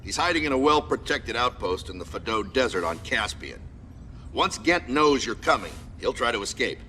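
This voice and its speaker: An actor playing a stern, authoritative military commander who gives clipped, formal mission briefings in a video game. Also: male voice, mid-range voice, expressive, moderate pace, bright, forceful tone, long reverb tail, slight background noise.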